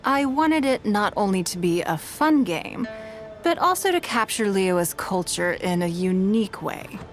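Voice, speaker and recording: A woman voicing an elegant, shrewd and courteous businesswoman in scripted video game dialogue, speaking in a poised, measured and articulate way. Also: medium pitch, very animated. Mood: thoughtful, proud. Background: background music.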